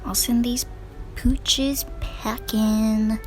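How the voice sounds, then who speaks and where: A woman streaming a game in a casual, slangy way, with a slightly high voice. The pace is unhurried, the reactions exclamatory, and game music plays clearly behind. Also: steady tone. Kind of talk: casual gameplay commentary, reacting and reading dialogue aloud.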